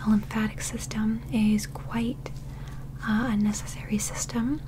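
A young woman playing a calm, curious alien medical examiner in a gentle, methodical ASMR roleplay, whispering softly and breathily. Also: on the high side, background noise, close to an everyday voice. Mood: calm, clinical. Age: young adult. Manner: gentle, slow, methodical, clinical. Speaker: ASMR performer.

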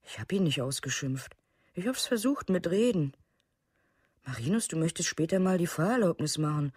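An actress playing a gentle, sorrowful mother in a documentary radio play, speaking plainly and in a subdued way. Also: on the low side, expressive, normal speed, slightly rough, dry recording, studio quality. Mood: subdued, sad. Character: Birgit, gentle, sorrowful mother of murdered boy.

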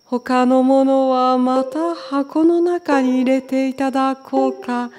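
A woman's voice: high-pitched, fairly even intonation, takes it slowly, darkish tone, soft background music. A woman voicing a regal old king in a storybook, with stately, old-fashioned speech. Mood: grudging, firm. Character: old canary king, male, regal, fond of treasure.

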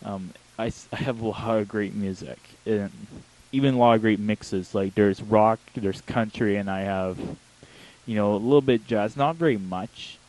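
A young man chatting casually in a halting, rambling way, correcting himself as he goes, into a handheld mic held close. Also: fairly low pitch, a little mellow, rough recording. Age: young adult. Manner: halting, self-correcting delivery. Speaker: talk show host. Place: small home bedroom, indoors. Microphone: handheld wireless microphone held close to the mouth.

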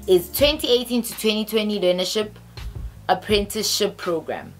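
A woman in her twenties with a South African accent tells a story casually to camera, chatty and halting, sometimes reading aloud. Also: mid-range voice. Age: 20s. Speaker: storytime vlogger. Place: small bedroom, indoors. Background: background music.

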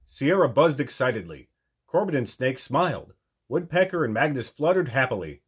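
A man narrates a children's storybook. His mid-pitched voice is husky and moves in animated rises and falls, as a storytime reader. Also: normal speed.

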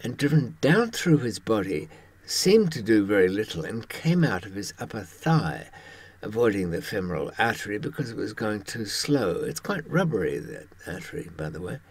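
A man in his seventies speaks in a low, husky voice with lively swings of pitch. He talks in a wry, conversational way, often pausing to find the words. The sound is close and dry. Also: dry recording. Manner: wry, halting, with pauses for thought. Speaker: presenter talking from personal experience. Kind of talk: conversational monologue to camera.

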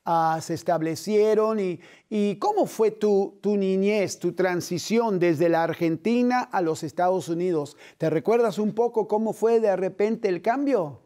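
Middle-aged male TV host, slow and earnest, mellow voice, studio setting. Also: high-pitched. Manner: earnest, measured, with gentle hand gestures.

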